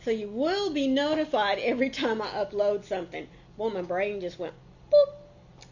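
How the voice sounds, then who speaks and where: A woman's voice: mid-range voice, moderate pace, darkish tone, muffled recording, a little hiss or hum. A middle-aged woman, a bit congested from a cold, chatting casually and folksily to camera as a crafting channel host.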